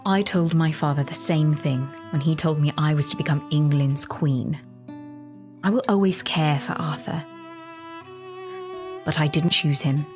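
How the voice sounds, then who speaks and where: A woman's voice: low-pitched, a little slow, pauses often, a little mellow. An actress playing a bold, candid young queen full of yearning in an intimate audio drama. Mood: tender, yearning. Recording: faint string music underneath.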